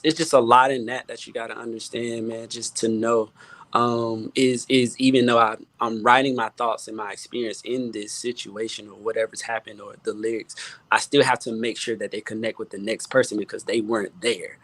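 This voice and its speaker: A man speaking as a guest producer and songwriter in a casual panel chat, halting and repeating words as he thinks, often adding "you know". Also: mid-range voice, level tone, a bit bright, dry recording.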